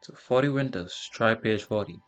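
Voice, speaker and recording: A man giving a casual game walkthrough and reading text aloud, in a halting way with small stumbles. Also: lowish voice, faint music behind, poor audio. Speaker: gaming streamer.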